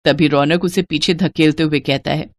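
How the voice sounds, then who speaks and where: A woman storyteller with a fairly low voice. She reads scripted story narration. The sound is dry, without room echo. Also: fairly even intonation, moderate pace.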